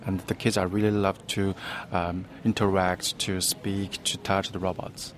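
A man's voice: low voice, level tone, a little slow, background noise. A man explaining and demonstrating in an interview, speaking non-native English. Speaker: robot demonstrator.

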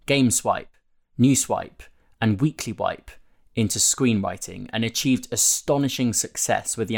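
A man narrates a long written feature in a fairly low voice. He reads from a script as a narrator, moving through prose and quoted remarks. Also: vocal fry. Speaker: narrator reading a newspaper feature. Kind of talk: scripted narration of a print interview, with quotes read out.

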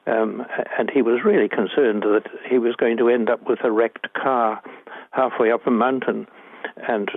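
A man's voice: medium pitch, steady tone, moderate pace, no room sound, phone-quality sound. A man in his eighties with a Scottish accent, a poet reading his work and talking about it in an interview. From Northern Ireland. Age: 80s.

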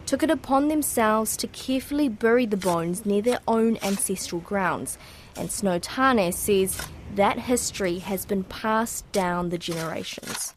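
A woman presenting a radio documentary story, narrating in a measured, clear way and interviewing guests. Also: mid-range voice, a little hiss or hum.

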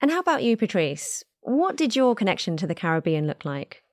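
A woman hosting a news podcast, reading scripted narration and asking guests clear, measured questions. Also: medium pitch, big pitch swings, no echo, studio quality. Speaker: podcast host.

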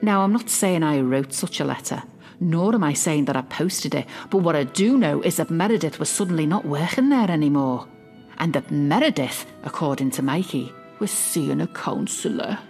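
A woman playing a possessive middle-aged mother in a confiding dramatic monologue, smug, mock-innocent and quietly malicious. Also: low-pitched, lively intonation, moderate pace, faint music behind. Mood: sly, mock-innocent. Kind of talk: dramatic monologue, confiding fiction podcast narration.

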